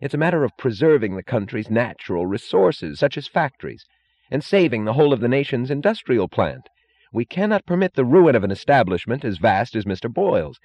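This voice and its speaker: Man putting on a fervent, high-pitched righteous bureaucrat. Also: big pitch swings, brisk, no room sound, lo-fi sound. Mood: righteous, urgent. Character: righteous, avid male bureaucrat preaching sacrifice and duty.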